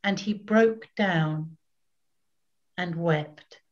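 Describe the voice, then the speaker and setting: Older woman narrator, unhurried solemn scripture reading, dull home webcam sound. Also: on the low side. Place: small plain room at home.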